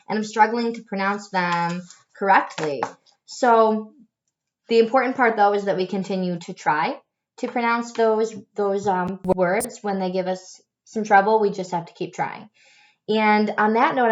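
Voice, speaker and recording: A woman teacher talks to her students in a gentle, patient, encouraging way after reading a chapter book aloud. She often restarts her sentences and uses fillers. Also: medium pitch, highs cut off. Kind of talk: recorded lesson for students.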